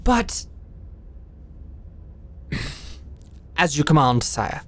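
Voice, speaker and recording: Low-voiced, formal lady knight played by a woman. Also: very animated, full character voice. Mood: guarded, deferential. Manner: formal, measured, deferential. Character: composed, dutiful lady knight, formal and guarded. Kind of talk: fantasy audio drama acting.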